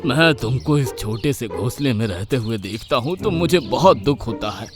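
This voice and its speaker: A man's voice: medium pitch, animated, normal speed, music in the background, lightly acted. Man acting a scheming, sweet-talking cartoon bird. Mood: coaxing. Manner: coaxing, falsely fatherly. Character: scheming, sweet-talking adult male bird, thief and liar. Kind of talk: Hindi children's cartoon story acting.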